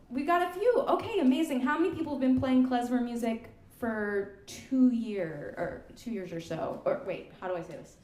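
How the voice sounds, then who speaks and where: A young woman leading a music workshop on a stage mic, chatty and upbeat, counting the band in. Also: on the high side. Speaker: workshop leader, klezmer musician. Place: community center hall. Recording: roomy hall.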